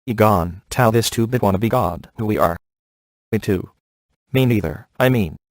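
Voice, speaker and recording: A man acting a cocky, laid-back ghost hunter in a video game, sardonic and offhand with deadpan sarcasm. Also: on the low side, normal speed, dry recording, clean studio sound, natural voice.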